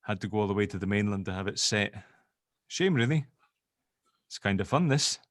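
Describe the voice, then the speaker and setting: A man playing a protective middle-aged dad in an audio drama table read, chatty, hesitant and gently awkward. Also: fairly low pitch, animated, a little fast, no echo, studio quality. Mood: rambling, wistful.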